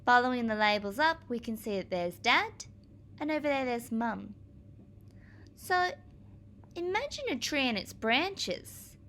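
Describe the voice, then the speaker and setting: A woman teacher reading aloud to young children, explaining as she goes in a clear, expressive manner. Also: on the high side, measured pace, pauses often, a little hiss or hum.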